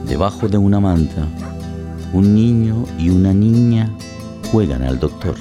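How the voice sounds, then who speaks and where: Male radio play narrator, deep voice, scripted dramatic delivery, plucked guitar behind. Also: expressive, unhurried. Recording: plucked guitar music under voice.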